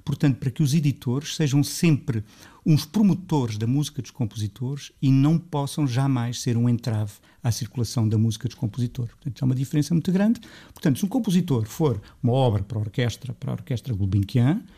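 A middle-aged Lisbon man with a mellow, dark voice speaks Portuguese with a Lisbon accent as a guest on a radio interview. He is thoughtful and restarts his sentences often, and his pitch climbs as he gets animated. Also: brisk, breathy edge. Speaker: composer guest.

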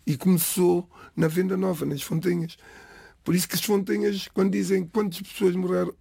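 A gravelly, slightly husky man in his forties speaks with a European Portuguese accent, his voice pitched a little high. He talks in a measured, deliberate way, restarting phrases and listing names one by one. The sound is close and dry. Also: level tone, dark tone, no echo. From Lisbon area, Portugal. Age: 40s. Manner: measured, lists names slowly, frequent restarts. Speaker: interviewee. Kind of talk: reflective personal testimony.

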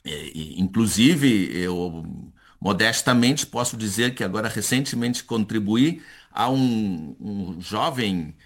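Academic man, 50s, lowish voice; hesitant, thoughtful interview guest who restarts often. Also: expressive, slow. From born in Chile to Brazilian parents. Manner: thoughtful, hesitant, with many restarts and repeated words. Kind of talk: conversational interview.

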